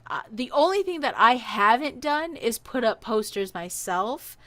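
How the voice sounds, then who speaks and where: A woman's voice: on the high side, measured pace. A woman telling a personal story in a casual podcast style, animatedly acting out what people said. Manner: animated, acts out quoted dialogue.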